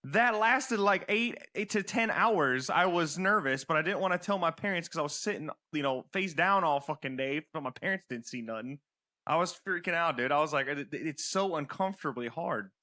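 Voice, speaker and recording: A man's voice: fairly high pitch, moderate pace. A man in his thirties, a podcast co-host, telling casual anecdotes in slangy, profane talk. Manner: slangy, animated storytelling with profanity.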